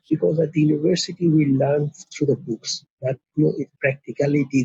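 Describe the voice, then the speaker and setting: Earnest middle-aged man from Bangladesh, husky, lots of "you know", muffled line. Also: mid-range voice, warm tone, no room sound. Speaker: guest, company co-founder. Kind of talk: casual interview. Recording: muffled, dry lo-fi sound.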